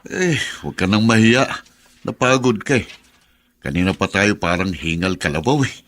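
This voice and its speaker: A man's voice: lowish voice, animated, normal speed, fairly bright tone. An actor playing a kindly, generous middle-aged man in a radio drama, coaxing in an avuncular way. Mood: friendly insistence. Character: kindly, generous older cousin of the family, insistent. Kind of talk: naturalistic radio drama acting.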